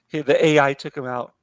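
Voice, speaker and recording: A man hosting a live art stream, losing his voice and talking in a relaxed, rambling way with many fillers. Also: a little high, no echo, studio quality. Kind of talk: casual late-night chat.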